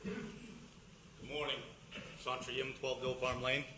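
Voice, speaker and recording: A man resident asking direct, loud questions at a public meeting. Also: mid-range voice, flat delivery, slow pace. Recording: echoey hall with background noise.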